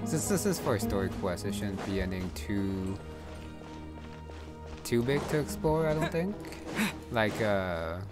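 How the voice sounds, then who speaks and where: A man giving casual, low-key gameplay commentary, thinking aloud as he plays. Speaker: gamer recording a playthrough. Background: game music.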